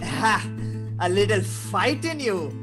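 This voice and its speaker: A man playing a manic, menacing criminal clown in a live table read, taunting with drawn-out words and cackles. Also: sits high, big pitch swings, music in the background, exaggerated voice. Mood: sinister, taunting.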